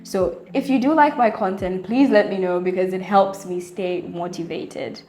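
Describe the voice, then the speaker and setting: A young woman with a Zambian accent chats to camera, answering viewer questions in a lively, friendly way. Her voice sits at a middle pitch, and she often breaks into laughter. Faint music plays underneath. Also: normal speed. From Zambia, Copper Belt. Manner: chatty, animated, laughs often. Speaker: vlogger. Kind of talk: casual Q&A to camera. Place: home room lit by daylight.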